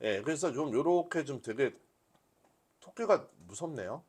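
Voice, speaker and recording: A man's voice: on the high side, animated, measured pace, darkish tone, slightly rough. A man giving a live demonstration as a workshop instructor, talking conversationally and often posing questions that he answers himself. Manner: conversational teaching, asks and answers own questions. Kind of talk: live software demonstration to a class.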